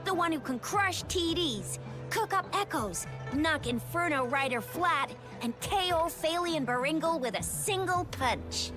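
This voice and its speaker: A woman's voice: very high voice, expressive, measured pace, music in the background. A woman playing a spirited, playful young game heroine with a theatrical, cheeky manner. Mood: excited, showy. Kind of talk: video game voice acting.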